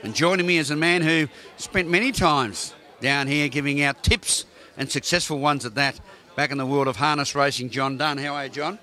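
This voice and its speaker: An Australian man hosting local television with folksy, effusive enthusiasm. His voice sits a little high and sounds bright, and he teases his guests. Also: moderate pace. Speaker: TV presenter. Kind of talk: local TV presenting and interviewing. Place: racecourse pavilion lounge.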